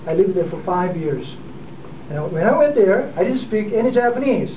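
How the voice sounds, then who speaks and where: A man in his forties from South Korea, with a Korean accent, giving an unprepared speech to a club in a halting way, full of fillers and restarts. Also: sits high, animated. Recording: distant, echoey, phone-like and noisy.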